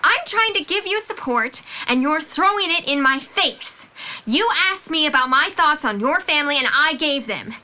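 A woman acting a frank young college student in an audio drama. Her voice is high and bright, and she comes across as earnest and firm, a supportive friend with limited patience. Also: expressive, normal speed, exaggerated voice. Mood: frustrated, hurt. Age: young adult.

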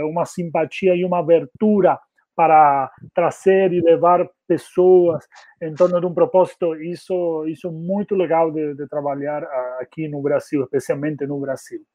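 A man's voice: fairly high pitch, no echo. A man from Argentina with an Argentine accent, a native Spanish speaker, talking casually as an interview guest over a narrow-band connection. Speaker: podcast guest, community marketing specialist.

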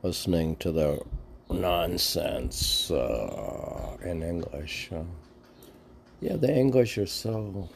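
A man with a low, deep voice reads verse and comments on it informally. He speaks slowly and haltingly, musing and answering his own questions. There is a faint background noise. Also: animated, gravelly. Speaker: reader and commentator of mystic poetry. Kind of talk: reading poems aloud with rambling informal commentary.

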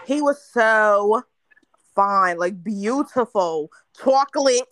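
A woman's voice: medium pitch, expressive, slow, no echo, low-quality recording. A woman from North Carolina telling a candid story in a casual chat with friends, laughing often. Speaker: podcast host.

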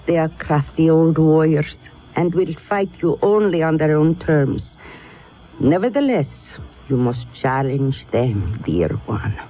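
A stern, grandiose old sorceress. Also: female voice, sits low, expressive. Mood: teasing, insistent. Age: older. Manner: grandiose, deliberate, incantatory phrasing. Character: crafty, stern old woman sorceress, teasing mentor. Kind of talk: 1970s radio drama acting. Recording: dull, lo-fi vintage radio recording.

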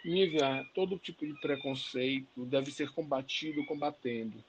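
A man's voice: medium pitch, normal speed, slight background noise, low-quality recording. A man from Bahia with a Bahian accent, speaking passionately as an interview guest, often tagging sentences with questions and restarting his phrases. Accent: Bahian Brazilian Portuguese. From Bahia, Brazil. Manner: passionate, emphatic, frequent "né" tags and restarts. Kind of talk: conversational interview.

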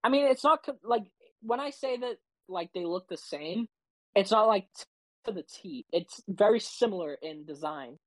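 A young woman co-hosting a podcast, rambling casually in quick bursts full of fillers. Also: mid-range voice, animated, dry recording, low-quality recording. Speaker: podcast co-host. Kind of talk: casual chat.

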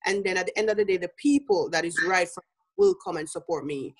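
A woman's voice: on the low side, big pitch swings, fast talker, slightly rough. Jamaican woman business coach talking. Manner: earnest, laughs easily, many fillers. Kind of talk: interview guest.

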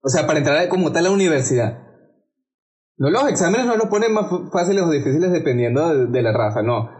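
A young Venezuelan man with a Venezuelan Spanish accent argues animatedly on a casual podcast, often tripping over his words. His voice sits a bit high for a man. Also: animated, fast talker, a little mellow. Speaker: podcast co-host.

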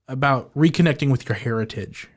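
A man co-hosting a podcast in casual chat about a film. His mid-pitched voice is animated, and he often trips over his words and restarts. He laughs now and then as he talks. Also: brisk. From Filipino heritage, living in the United States. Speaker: podcast co-host. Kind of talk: casual movie review chat.

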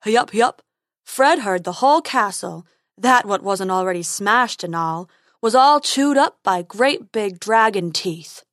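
A woman voicing a silly, stubborn male unicorn in a storybook, slow, dopey and gossipy. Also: mid-range voice, lively intonation, fairly bright tone, no echo, clean studio sound. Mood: dopey, chatty. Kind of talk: storybook character voice.

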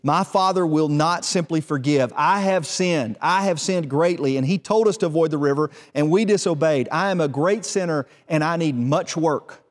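A man's voice: on the high side, moderate pace, few pauses, lightly acted. A man voicing a stubborn, guilt-ridden younger brother in a parable, earnest and self-reproaching. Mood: indignant, guilty. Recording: long hall reverb.

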